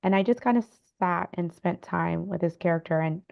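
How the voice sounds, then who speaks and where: Creaky, lowish-voiced woman on dull audio. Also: normal speed. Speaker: panel guest, novelist. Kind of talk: casual personal storytelling.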